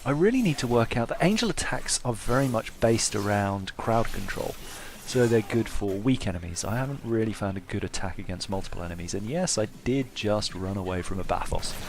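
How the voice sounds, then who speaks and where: A man's voice: lowish voice, vocal fry. A man giving casual, self-deprecating playthrough commentary with a wry sense of humour. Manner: self-deprecating, wryly humorous. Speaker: gameplay commentator. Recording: faint background noise.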